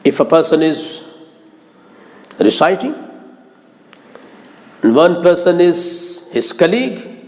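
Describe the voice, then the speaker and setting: A man delivers a religious lecture in a long, ringing reverb. His voice sits high and he speaks very slowly and deliberately. He stresses and repeats phrases as a teacher would. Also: sing-song, a little mellow.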